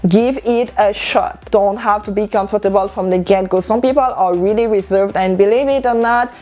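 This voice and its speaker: A woman's voice: mid-range voice, moderate pace. A young woman whose first language is French, talking casually to camera in a room and gesturing as she speaks. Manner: animated, gesturing with hands while standing. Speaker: vlogger. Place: indoor room with clothing rack. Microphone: lapel mic.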